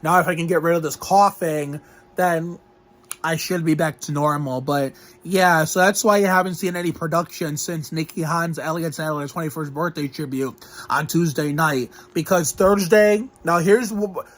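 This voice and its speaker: Young male vlogger, slightly high voice, just over a cold with a lingering cough, rambling and full of restarts. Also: normal speed, a bit bright. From Northeast Ohio. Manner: rambling, with frequent restarts and fillers. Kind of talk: rambling health update vlog.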